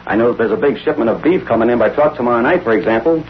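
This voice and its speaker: An actor with an American accent playing a wisecracking, eager young reporter in a 1930s radio drama. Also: male voice, mid-range voice, rapid-fire, natural voice. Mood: frustrated. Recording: muffled, noisy vintage radio recording.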